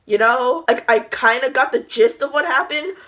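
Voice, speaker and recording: A woman's voice: sits high, normal speed, a bit bright. A young woman talking in a casual book review vlog, chatty and gushing, rambling with frequent asides.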